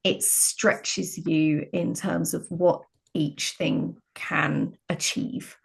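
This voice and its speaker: A woman's voice: on the low side, steady tone, very slow, vocal fry. A woman talking as a podcast guest in a conversational discussion, earnest and working out her thoughts as she goes. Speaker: podcast guest, game writer.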